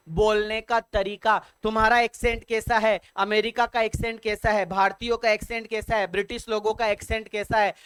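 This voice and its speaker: A man teaching an online exam-prep English vocabulary lesson in Hindi. He repeats points over and over, spells words out letter by letter, and reads the English prompts slowly and deliberately. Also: high voice, a bit bright, smooth, no echo. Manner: addresses viewers as sir and dear children.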